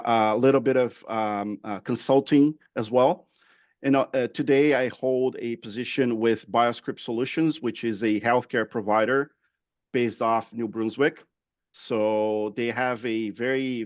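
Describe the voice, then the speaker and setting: A man's voice: medium pitch, dry recording, lo-fi sound. A man in his thirties with a Brazilian accent and Portuguese as his first language, introducing himself as a guest expert, often repeating words as he goes. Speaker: guest expert, process improvement professional. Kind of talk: informal self-introduction at a talk.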